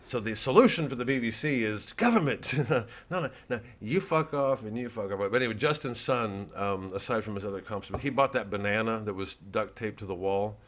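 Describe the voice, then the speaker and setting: A man's voice: lowish voice, a little fast, few pauses, fairly bright tone. A man giving a rambling, improvised satirical monologue, sardonic and profane, often digressing as he goes. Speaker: satirical comedian.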